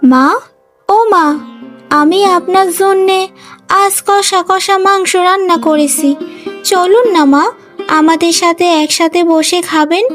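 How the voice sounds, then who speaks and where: Woman acting high-voiced scheming young wife. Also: steady tone, takes it slowly, pauses often, fairly bright tone, faint music behind, exaggerated voice. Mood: sweetly coaxing. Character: greedy, scheming, bossy young wife and daughter-in-law. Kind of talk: Bengali cartoon story voice acting.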